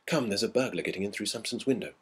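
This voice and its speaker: A man voicing a frightened, secretive schoolboy in a storybook reading, hushed and hesitant. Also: lowish voice, lively intonation, fast, a little hiss or hum, close to an everyday voice. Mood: urgent, alarmed. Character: frightened, secretive schoolboy witness, urgent and uneasy.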